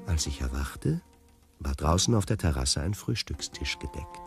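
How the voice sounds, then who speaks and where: An actor narrating a radio play in the first person as a wry, fastidious tiger, in a deliberate literary manner. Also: male voice, extremely low pitch, animated, music in the background. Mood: wry reflection. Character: wry, fastidious tiger telling his own story.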